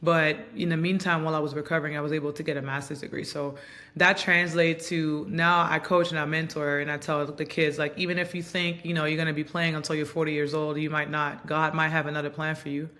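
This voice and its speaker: A woman in her thirties from Montreal, whose first language is Haitian Creole, giving reflective, earnest interview answers with frequent pauses and ums. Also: low-pitched, flat delivery, fairly bright tone. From Montreal, Canada. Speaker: guest, basketball player and coach.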